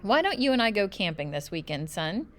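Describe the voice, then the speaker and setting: Lady playing a nice encouraging dad in a kids book, proud outdoorsy type, very lively delivery. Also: mid-range voice, a little fast, lightly acted. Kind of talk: children's storybook read-aloud.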